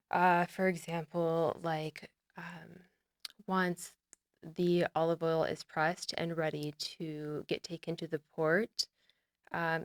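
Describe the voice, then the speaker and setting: A young woman from the United States speaks in a relaxed, earnest way as a podcast guest. Her voice sits a little low and sounds smooth and clear. The recording is close and dry. She leans on "like" a lot and often trails off and starts again. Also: no room sound. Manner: relaxed and earnest, heavy use of "like", trailing off and restarting. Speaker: podcast guest, olive oil brand founder. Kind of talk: casual interview conversation.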